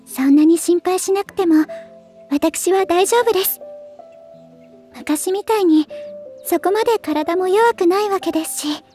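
A woman playing a gentle, refined, sentimental young lady idol in an anime voice drama, speaking softly and politely. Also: very high-pitched, unhurried, pauses often, soft background music. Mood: tender, earnest. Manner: soft, polite, ladylike, wistful. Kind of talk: anime game voice drama, narration and dialogue.

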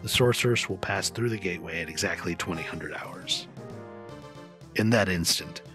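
A man plays a formal army general from a video game, deep-voiced and commanding. Music plays clearly behind him. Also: normal speed, close to an everyday voice. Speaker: fan dub voice actor. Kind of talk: video game fan dub.

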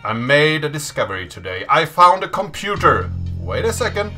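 A man in his thirties presenting in a deliberate, measured way, as in a dramatic reading. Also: a little high, very animated, bright, forceful tone, faint music behind. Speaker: host of a programming channel. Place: small indoor room at a desk.

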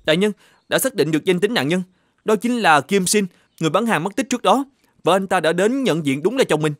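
A man voicing a deferential officer in an animated folk tale, briskly reporting to his superior. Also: high voice. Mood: dutiful, earnest. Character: dutiful, deferential male officer serving the governor.

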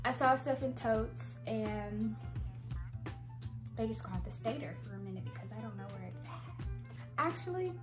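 A young woman with a soft, slightly high voice talks casually to camera from her bedroom, wandering into chatty asides with little giggles. She speaks into a clip-on mic. Faint music plays underneath. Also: moderate pace, pauses often. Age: young adult. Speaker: vlogger. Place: bedroom, indoors. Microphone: clip-on mic after the first part.

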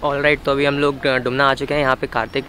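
A young man hosts a chatty outdoor vlog, switching freely between Hindi and English. He talks into a handheld camera at a bike meetup, with faint noise around him. His voice sits at an ordinary middle pitch. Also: flat delivery, a little fast, bright, forceful tone. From India. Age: young adult. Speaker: vlogger host. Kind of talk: casual vlog commentary. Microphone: camera held at arm's length.

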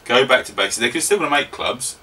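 A man's voice: mid-range voice, animated, brisk, sharp tone. A man in his thirties co-hosting a casual golf chat show from a small cluttered basement studio, leading segments and tossing tag questions to his co-host. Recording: distant mic, faint room hum.

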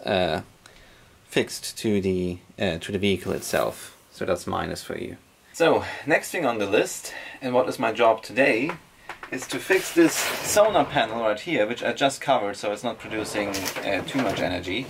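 Relaxed young man with a low voice. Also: creaky. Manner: relaxed and self-deprecating, with many fillers and restarts. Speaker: vlogger. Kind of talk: casual DIY vlog narration. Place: inside a half-built van, outdoors beside a building. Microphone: camera mic at arm's length.

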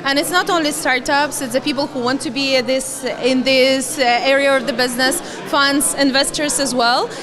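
A woman's voice: sits high, steady tone, moderate pace, hardly any pauses, music in the background. A woman from Latvia in her thirties, giving earnest answers in a conference interview, speaking into a handheld mic. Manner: earnest, slightly non-native grammar. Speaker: guest, fund managing partner. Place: conference hall, in front of a banner wall. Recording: busy conference crowd behind. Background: crowd chatter.

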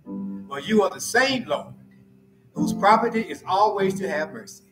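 A man serving as pastor, reciting a communion liturgy in a slow, solemn, measured way from the pulpit of a church sanctuary. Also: high-pitched, big pitch swings, music in the background. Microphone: pulpit mic from far camera. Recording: roomy church echo.

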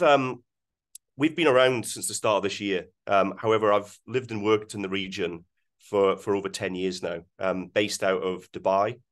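A Scottish man in his forties presenting on a video call, speaking with many ums and restarts. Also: low voice. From Scotland. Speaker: webinar guest speaker. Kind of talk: presenting slides in a webinar.

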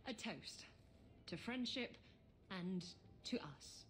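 An actress playing a flirtatious, irreverent female seafaring adventurer in a video game, with a teasing, unhurried delivery. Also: mid-range voice, expressive, a bit bright, slight background noise. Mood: wistful affection. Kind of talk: video game dialogue acting.

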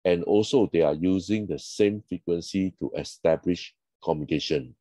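Low, dark-voiced man explaining step by step. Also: moderate pace, dry recording. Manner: explanatory, step by step, in short phrases. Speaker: instructor. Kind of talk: slide lecture narration.

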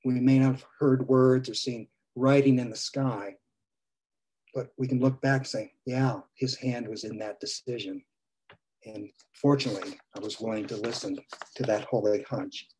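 A priest in his sixties preaching a gentle sermon from a home study over a video call, his phrases trailing off softly. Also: mid-range voice, fairly even intonation, normal speed, a little hiss or hum, low-quality recording. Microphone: video call webcam.

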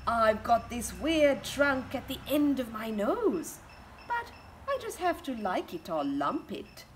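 Quirky storybook elephant seal voiced by a woman, emphatic singsong, animated, faint noise outdoors. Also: fairly high pitch, slow. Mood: comically resigned. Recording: outdoor ambient garden noise.

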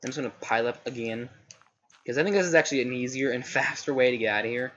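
A man's voice: mid-range voice, quick pace, a bit bright. A young man giving casual, rambling commentary while playing a game, his voice a little scratchy, often correcting himself mid-sentence. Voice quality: slightly scratchy. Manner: rambling, self-correcting, chatty. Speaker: gaming let's play host. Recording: slightly dull home recording with faint noise.

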